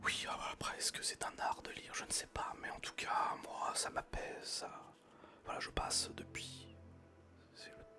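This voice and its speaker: A whispering ASMR streamer from France, chatting with viewers and slowly reading a novel aloud. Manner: soft, slow, soothing whisper.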